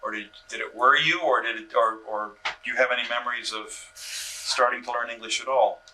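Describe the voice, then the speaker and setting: A man interviewing an older family member, gently prompting him and rephrasing his questions again and again. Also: mid-range voice, fairly even intonation, fairly quick, a little hiss or hum. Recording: distant mic on old videotape.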